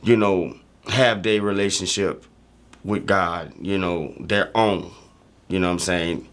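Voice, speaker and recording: A man chatting casually and reflectively as a reaction show host, halting often as he searches for words. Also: low voice, fairly even intonation, a bit bright.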